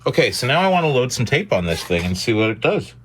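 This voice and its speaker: Man muttering over clear machinery noise. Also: mid-range voice, very animated. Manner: halting, muttering to himself, playful. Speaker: vlogger repairing a tape deck. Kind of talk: casual hands-on commentary, thinking aloud. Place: indoors at a repair bench. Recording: clear machine hum and whir.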